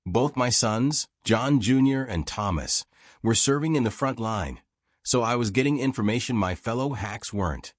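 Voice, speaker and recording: A man's voice: medium pitch, expressive, normal speed, no room sound, studio quality, lightly acted. A man voicing a frank, wry old American war reporter reminiscing in folksy, self-deprecating audiobook dialogue. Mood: matter-of-fact.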